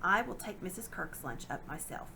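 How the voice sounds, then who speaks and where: A woman voicing a tough, brisk and commanding old aunt in a storybook reading. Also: on the low side, big pitch swings, gravelly, sharp tone, a little hiss or hum, exaggerated voice. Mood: bossy. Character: tough, indignant old aunt, bossy and practical.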